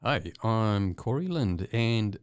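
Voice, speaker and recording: A man from New Zealand with a Kiwi accent, chatting as a guest artist in a relaxed interview, with plenty of ums and soft laughs. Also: fairly low pitch, slow pace, smooth, dry recording, clean studio sound. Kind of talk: casual interview chat.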